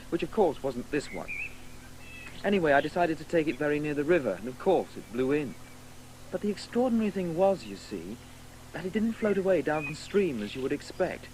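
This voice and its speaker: Pedantic, haughty boy, animated and high. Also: slight background noise, rough recording. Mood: musing, matter-of-fact. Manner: pedantic, condescending explaining. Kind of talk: 1980s radio drama acting.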